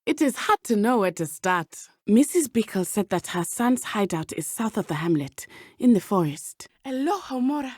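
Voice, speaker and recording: A woman voicing a brave, earnest teenage witch schoolgirl in a video game, speaking in a formal, measured way. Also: mid-range voice, very animated, a little mellow, breathy edge, dry recording, studio quality. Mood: earnest, calm. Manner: formal, measured, avoids contractions. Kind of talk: scripted game companion dialogue.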